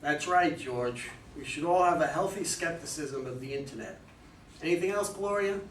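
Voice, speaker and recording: A man's voice: a little high, lively intonation, normal speed, natural voice. A man playing a composed, knowing consultant who questions and instructs his clients in a staged play reading. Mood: reassuring. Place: room at a live readers' theater reading. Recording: echoey room, distant mic, faint noise.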